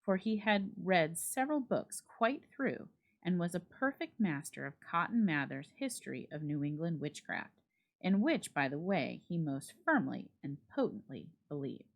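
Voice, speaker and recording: A woman reading a classic story aloud as a dramatic, expressive narration. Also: fairly low pitch, normal speed, gravelly. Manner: expressive read-aloud delivery. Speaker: library staff reader.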